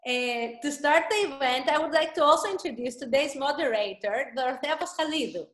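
High-voiced woman hosting in an echoey room. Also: lively intonation, brisk, a bit bright. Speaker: event host and organizer. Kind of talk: welcoming webinar introduction. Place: small room indoors, on a video call. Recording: roomy, echoing laptop microphone.

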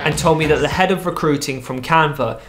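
A young man narrating a personal story in a vlog, lively and wry, with playful asides. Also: a little high, a little fast, roomy sound, over music. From England. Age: young adult. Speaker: vlogger. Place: small room with a desk lamp, indoors.

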